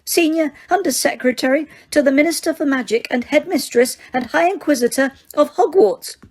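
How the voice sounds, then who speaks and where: A woman voicing a prim, officious middle-aged witch with a high-pitched, prissy voice. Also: moderate pace, darkish tone, close to an everyday voice. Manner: haughty, clipped officialese turning to shrieks.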